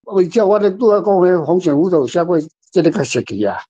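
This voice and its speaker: A man's voice: sits high, expressive, fast talker, warm tone, slightly rough. An older man with a Taiwanese accent chatting casually about a story as its author, rambling through anecdotes and repeating phrases. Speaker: author guest discussing their story. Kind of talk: casual literary discussion.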